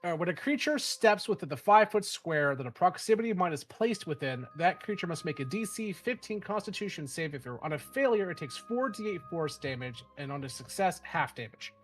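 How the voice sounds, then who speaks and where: A man's voice: high voice, a little fast, few pauses. A man with a stuffy, nasal voice from a head cold, casually running a tabletop game as game master with lots of fillers. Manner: relaxed, rambling with fillers and swearing.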